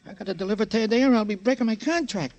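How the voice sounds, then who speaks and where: A man acts out a jittery former stool pigeon in a vintage radio crime drama. His voice sits very high, and he stammers, repeats himself and pleads anxiously. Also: very fast, darkish tone, muffled recording, natural voice. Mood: pleading. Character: nervous, pleading ex-stool pigeon, small-time crook. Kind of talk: old-time radio crime drama acting. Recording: old radio broadcast with music.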